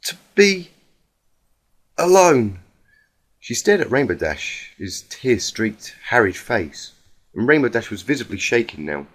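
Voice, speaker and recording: Dramatic male narrator with lively pitch. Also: measured pace, husky. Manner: emotive, emphatic. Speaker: fan fiction reader.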